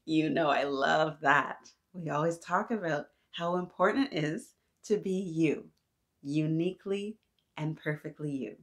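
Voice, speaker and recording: A woman in her thirties reading aloud to young children, animated and encouraging, often addressing them directly with questions. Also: low voice, unhurried. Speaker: children's story-time host. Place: small decorated indoor room.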